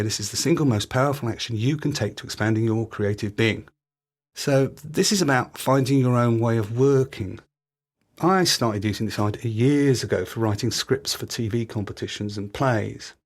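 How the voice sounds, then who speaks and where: A British man hosting a podcast, delivering a scripted monologue with practical tips. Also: medium pitch. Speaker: podcast host.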